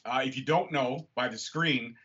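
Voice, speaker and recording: A young man hosts a casual interview with easy enthusiasm, dropping the odd swear word and gushing over what he liked. His voice sits at an ordinary middle pitch. The audio is slightly dull and lo-fi. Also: moderate pace, fairly bright tone. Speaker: podcast host.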